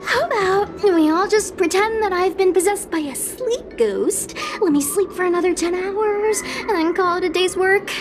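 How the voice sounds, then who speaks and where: Super high bouncy ghost hunter girl, lazy and whiny, game cutscene w music behind. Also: moderate pace. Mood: groggy, wheedling. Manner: animated, playfully lazy. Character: sleepy, whiny young female ghost hunter, lively and easygoing. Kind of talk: video game cutscene voice acting.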